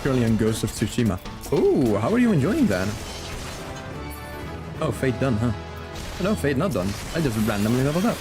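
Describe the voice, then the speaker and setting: A man's voice: medium pitch, very animated, fairly quick, pauses often, music in the background. A man chatting casually and playfully with viewers as he plays and comments on a game on a live stream.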